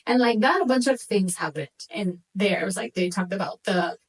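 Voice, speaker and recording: A young woman from Venezuela who moved to the United States as a child, telling stories casually as an interview guest, with animated informal talk and Spanish words dropped in. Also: medium pitch, fairly quick, husky, far from the mic. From Venezuela, moved to the United States at eight. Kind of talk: casual conversational storytelling.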